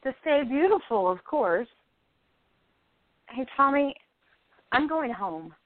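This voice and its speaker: Radio play role: woman as disillusioned struggling actress, thirty, stubborn, high and very expressive, dry tinny audio. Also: slow, no echo, full character voice. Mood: weary. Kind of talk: amateur radio play acting. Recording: tinny phone-line internet radio audio.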